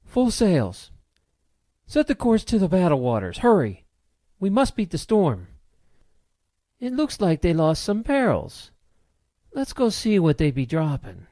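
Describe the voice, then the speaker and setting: Audiobook pirate captain, female, gruff-mannered and bossy, performed by a man; lively, raised delivery, close dry sound. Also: high voice, a little slow, no echo, pitched above the performer's own voice, exaggerated voice. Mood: barking orders. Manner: barking, bossy, salty pirate talk. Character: commanding, foul-mouthed female pirate captain. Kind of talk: audiobook character voice.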